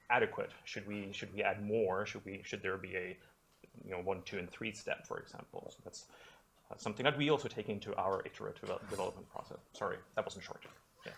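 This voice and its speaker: A man's voice: fairly low pitch, gravelly, a bit bright. A man answering at a seminar panel about his program, rambling a little and correcting himself as he goes. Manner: rambling, self-correcting, laughs lightly.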